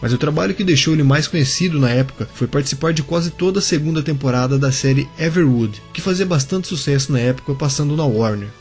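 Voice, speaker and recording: A man narrates a scripted biography for his channel. His voice sits a little high, and he talks casually and straight to the viewers. Soft music plays under him. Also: quick pace, few pauses. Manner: casual, addresses viewers directly. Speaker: YouTube channel host.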